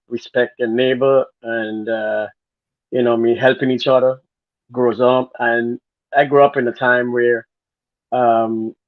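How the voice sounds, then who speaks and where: Guyanese guy talking on a tinny call, earnest, kinda rambling, flat pitch, dry. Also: no echo. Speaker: guest, former dancer. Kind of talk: casual interview talk. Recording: phone-like narrow sound.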